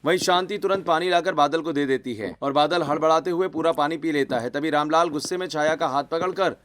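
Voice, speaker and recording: A man's voice: on the high side, a little fast, a bit bright. A man narrating a scripted cartoon story.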